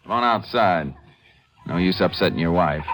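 Deep-voiced, laconic Texas Ranger played by an actor. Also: male voice, normal speed, noisy background, natural voice. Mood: firm, probing. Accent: American. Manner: laconic, matter-of-fact, firm. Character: calm, methodical male Texas Ranger lawman. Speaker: lead actor in a radio drama. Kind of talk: 1950s radio western crime acting with first-person narration. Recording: vintage 1950s radio recording.

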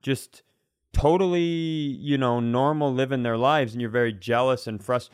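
Casting: male comedy podcaster, middle-register voice, very dry sound, rambling animated conversational delivery. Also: mid-range voice, moderate pace, clean tone, dry recording.

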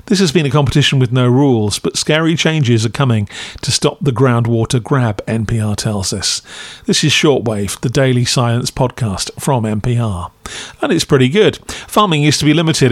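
A man's voice: mid-range voice, no room sound. Wry male podcast reviewer, dry close recording. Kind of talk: scripted review narration.

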